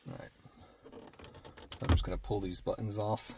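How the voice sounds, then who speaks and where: Male DIY vlogger, deep and relaxed, casual step-by-step delivery while working, with noise in the recording. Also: unhurried, gravelly. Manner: plain-spoken, talking through each step while working. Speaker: vlogger doing a DIY truck install. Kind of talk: casual hands-on tutorial.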